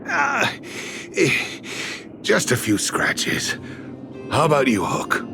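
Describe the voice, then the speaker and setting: A man's voice: medium pitch, huge pitch swings, slow pace, creaky, music in the background, exaggerated voice. An actor playing a weary, kindly miner and protective father in a video game, with a hesitant, placating manner. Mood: gentle, relieved. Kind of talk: animated video game voice acting.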